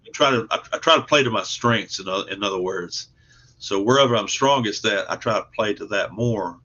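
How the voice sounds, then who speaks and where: A man's voice: fairly low pitch, a little fast, pushed and bright. A man chatting casually as an interview guest about his creative work, earnest, modest and plain-spoken in a folksy way. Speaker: guest, comic book artist and writer. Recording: dull lo-fi online call audio.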